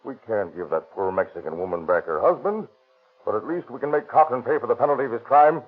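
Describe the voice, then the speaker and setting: An actor in a 1930s radio drama playing a police detective who earnestly coaxes a suspect. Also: male voice, medium pitch, lively intonation, fast, husky. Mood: persuasive. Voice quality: earnest, coaxing. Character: persuasive male police detective. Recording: tinny old radio broadcast.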